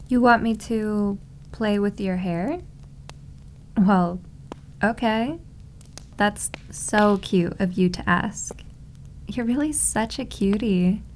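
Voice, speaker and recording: A woman's voice: mid-range voice, a little mellow, slight background noise, natural voice. A woman playing a doting, possessive yandere maid girlfriend in an ASMR roleplay, with a sugary, cooing way of teasing. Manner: cooing, sugary, drawn-out endearments. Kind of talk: slow ASMR roleplay acting.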